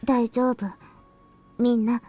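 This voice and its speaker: A woman's voice: on the high side, flat delivery, a little slow, dark tone, soft background music. A woman playing an earnest, clever and determined teenage girl in a visual novel. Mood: earnest, resolute. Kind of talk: Japanese visual novel voice acting.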